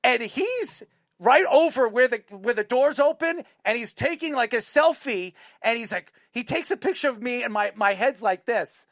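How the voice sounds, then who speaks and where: Excitable New man with gravelly creak. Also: extremely high pitch, normal speed, dry recording, clean studio sound. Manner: excitable, repeats himself, profane. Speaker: sports talk show host. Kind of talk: casual chat, animated storytelling.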